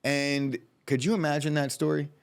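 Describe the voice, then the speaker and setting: A man co-hosting a casual sports podcast, talking in quick, opinionated bursts with frequent restarts. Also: a little high, level tone, clean tone. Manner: fast opinionated talk, many restarts. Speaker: co-host.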